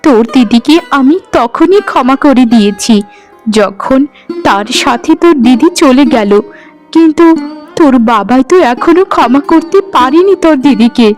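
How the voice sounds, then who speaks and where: A woman's voice: high-pitched, expressive, moderate pace, a little mellow, faint music behind. A woman voicing a forgiving, reassuring village mother in cartoon story dialogue, soothing and resigned.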